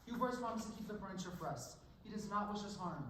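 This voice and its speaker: A man's voice: high-pitched, lively intonation, fast, a bit bright. Guy playing hopeful firm dad in a stage drama, far from mic, super echoey. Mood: firm, reassuring. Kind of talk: stage play acting. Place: stage in a large hall. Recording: echoey hall from far off, faint noise.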